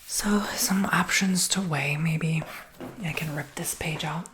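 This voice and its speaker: A woman whispering in a breathy voice with a creaky undertone, giving casual, reassuring sales patter as an ASMR roleplay. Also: deep voice, fairly even intonation, slow, noisy background.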